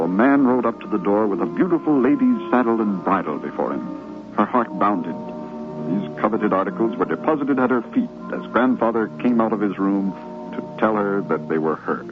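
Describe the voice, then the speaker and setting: An actor playing a devoted grandson narrating fond memories in a reverent, dramatic manner for a 1950s radio drama. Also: male voice, on the low side. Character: devoted grown grandchild fondly remembering grandfather. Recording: muffled 1950s radio recording, music behind. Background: music.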